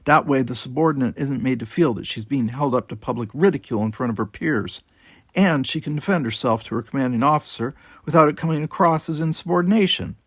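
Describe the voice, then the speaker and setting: A man's voice: mid-range voice, fast, no room sound, studio quality, voice pushed up, close to an everyday voice. A man voicing a feisty young human woman cadet, blunt, pointed and colloquial, in a story reading. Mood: angry.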